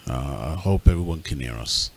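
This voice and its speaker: A man hosting an informal radio talk show in a polite, measured manner. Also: extremely low pitch, animated, vocal fry, a little mellow, a little hiss or hum.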